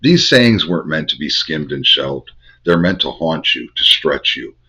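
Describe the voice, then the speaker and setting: An older man with a head cold, hosting a Bible study and teaching in measured, incantatory short phrases. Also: rumbling low, big pitch swings, pushed and bright, breathy edge. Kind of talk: spiritual teaching monologue. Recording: dull lo-fi recording.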